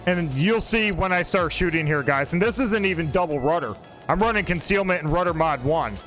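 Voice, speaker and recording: A man's voice: on the high side, animated, a little fast, a bit bright, faint music behind. A man in his forties casually commenting on his own gameplay, rambling and joking with a friend over a desk mic. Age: 40s. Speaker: gaming channel host.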